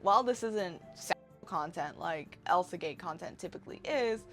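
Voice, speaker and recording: A woman's voice: lowish voice, animated, normal speed, fairly bright tone, soft background music. A woman in her twenties narrating a casual scripted video essay commentary, wry and given to sarcastic asides. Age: 20s.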